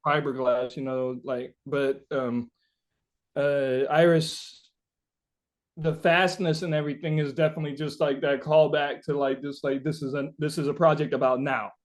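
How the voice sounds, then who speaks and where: A man with a fairly high voice talks casually as an interviewed musician. He thinks aloud, stopping and starting as he works toward his point, and leans often on the word "like". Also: little pitch movement. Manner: halting, thinking aloud, frequent "like".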